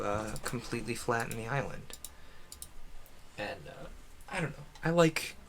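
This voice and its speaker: A man chatting casually and haltingly on a solo game livestream, rambling with self-deprecating asides. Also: medium pitch, vocal fry, background noise.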